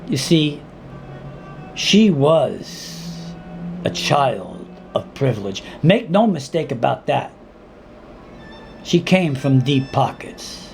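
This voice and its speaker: A man reading his own dramatic monologue in a deliberate, intense spoken-word style, with weighty pauses and repeated words. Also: medium pitch, sing-song, gravelly, a little mellow, soft background music.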